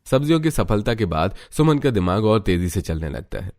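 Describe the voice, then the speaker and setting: A man's voice: lowish voice, big pitch swings, fairly quick, a little mellow. A man narrating a scripted cartoon story as its storyteller.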